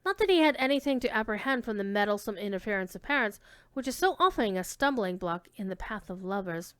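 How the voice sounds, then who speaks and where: A woman giving an expressive dramatic reading of classic literary narration, with emphasis on key words. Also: medium pitch, fast talker, clear, resonant tone, no echo.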